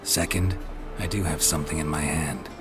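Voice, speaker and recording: A man voicing a gruff, laconic monster hunter in a video game, gravelly and deadpan, with terse sardonic lines. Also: a bass voice, moderate pace. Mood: dry, irritated. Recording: music behind the dialogue.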